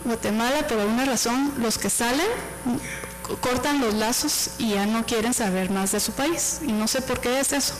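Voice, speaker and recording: A woman's voice: fairly high pitch, low-quality recording. A woman professor speaking thoughtfully on a university panel. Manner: reflective, thoughtful. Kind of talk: panel talk.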